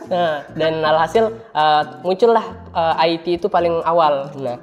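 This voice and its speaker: A man's voice: fairly high pitch, unhurried, big-room sound, dull audio. A young adult man, talking informally and a bit hesitantly as a guest in a casual interview. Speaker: podcast guest, university student. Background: background music.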